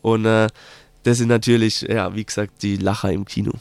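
A man with a Palatinate German accent, a documentary maker answering interview questions in a casual, halting way with lots of filler sounds. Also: fairly low pitch, level tone, gravelly, clean tone, no echo. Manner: hesitant, many filler sounds, slow drawn-out phrasing. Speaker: documentary filmmaker guest.

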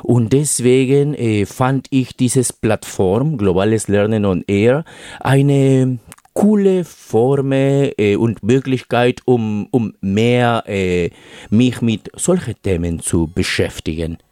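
A man's voice: medium pitch, takes it slowly, dark tone, no room sound, clean studio sound. A man from Peru, a native Spanish speaker with a Peruvian accent, giving a radio interview as a guest and speaking hesitantly with many fillers.

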